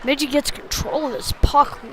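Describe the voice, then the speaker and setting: Woman, high-pitched, casual play-by-play commentary, clipped phrases, amateur sports stream, faint background noise. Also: steady tone, moderate pace. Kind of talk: informal live youth ice hockey commentary. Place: sports venue, ice rink.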